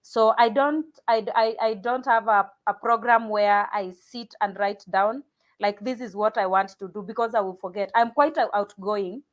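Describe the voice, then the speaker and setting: A woman's voice: mid-range voice, moderate pace, lo-fi sound. A woman from Kenya, a pastor talking casually in an interview, often restarting sentences and repeating words. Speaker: pastor and guest.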